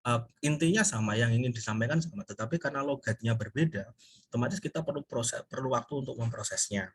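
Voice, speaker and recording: A man giving an informal recorded English lecture as a university teacher, explaining haltingly and often pausing to search for words. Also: medium pitch, slightly rough.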